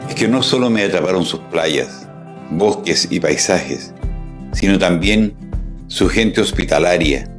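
An older man with a Chilean accent and a very low voice narrates in a slow, heartfelt and reflective way. Clear background music plays under his voice-over. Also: expressive. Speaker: testimonial narrator.

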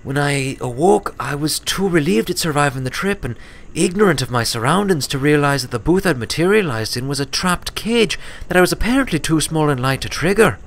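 A man's voice: on the high side, expressive, brisk, voice pushed up. A man voicing a smug, serious young filly pony who explains things matter-of-factly in a story reading. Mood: explanatory.

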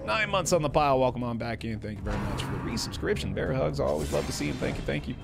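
A man's voice: mid-range voice, very animated, fast talker, gravelly. A man, giving casual, playful live commentary as a game streamer. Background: game music and effects.

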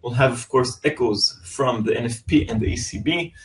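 Male market analyst, mid-pitched, loose unscripted delivery with fillers; weekly forex preview. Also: normal speed, rough recording.

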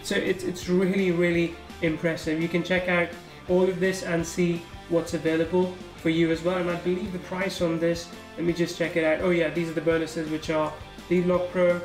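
A man casually walking through a software sales funnel on a screen recording, thinking aloud as he clicks. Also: fairly high pitch, flat delivery, moderate pace, echoey room, soft background music, rough recording. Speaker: software reviewer. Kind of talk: screen-recorded product walkthrough.

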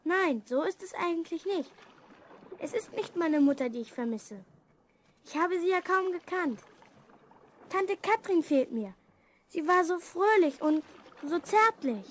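A woman's voice: very high voice, animated, unhurried, pauses often, slight background noise. A woman playing a lonely young princess, proud and sad, in a formal, earnest way for a children's audio drama.

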